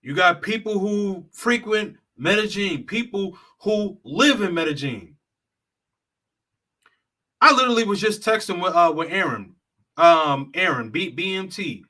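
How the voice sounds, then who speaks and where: Brief: high, bright-toned male vlogger, blunt and profane, lecturing the audience. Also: lively intonation, measured pace. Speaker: travel consultant and vlogger. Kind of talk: heated straight-talk monologue.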